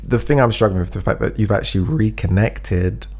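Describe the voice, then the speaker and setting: A man's voice: lowish voice, a little fast, creaky, darkish tone. A man co-hosting a casual chat, giving earnest advice and acting out example speeches, a bit phlegmy. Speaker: podcast co-host giving advice.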